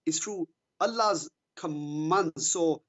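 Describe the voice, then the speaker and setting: A man's voice: on the high side, slow pace, fairly bright tone. A man in a heated religious debate, speaking hesitantly and stumbling over repeated words.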